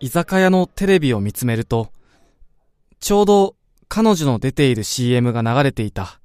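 A male actor as a young man in a radio drama, understated and reflective. His voice is smooth and clear at a middle pitch, recorded dry and close. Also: very animated, moderate pace, darkish tone, no room sound, natural voice. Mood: wistful. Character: apologetic, quietly reflective young man, telling his own story. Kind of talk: radio drama acting and narration.

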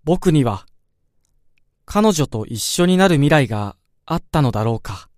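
A man playing a pensive young man who narrates his thoughts in a radio drama, measured and introspective. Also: on the high side, animated, a little mellow, dry recording, clean studio sound. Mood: wistful doubt. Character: pensive young man quietly reflecting on a childhood friend.

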